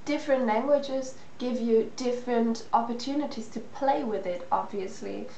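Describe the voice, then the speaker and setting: A young woman whose first language is German chats about her thoughts, wandering and restarting as she goes. Her voice sits somewhat high, and the room gives it a noticeable echo. A faint hiss runs underneath. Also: normal speed, vocal fry, warm tone. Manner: rambling, with restarts and fillers. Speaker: vlogger. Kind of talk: casual personal talk to camera. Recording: echoey room with faint noise.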